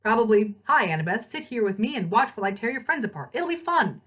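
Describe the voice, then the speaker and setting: A woman's voice: on the high side, very animated, quick pace, a bit bright, voice pushed up. A woman reading the part of an earnest teenage boy hero in a storybook, casual with some sarcasm. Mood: wry.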